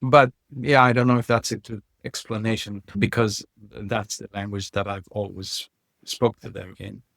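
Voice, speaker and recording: A man's voice: lowish voice, little pitch movement, moderate pace, vocal fry, a bit bright, no echo, studio quality. A man from Israel with an Israeli accent, a native Hebrew speaker talking hesitantly as a guest parent. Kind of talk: casual conversation.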